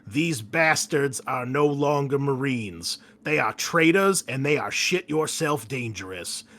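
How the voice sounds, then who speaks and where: A man voicing a gruff, foul-mouthed Marine commander giving emphatic orders in a tabletop game briefing. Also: medium pitch, expressive, measured pace, fairly bright tone. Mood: fierce, commanding.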